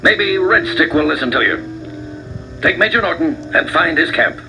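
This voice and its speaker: An actor playing a commanding army general who speaks firmly and with authority. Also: male voice, on the high side, animated, unhurried, noisy background. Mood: stern. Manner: firm, authoritative. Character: commanding army general, middle-aged man. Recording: voice over music. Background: background music.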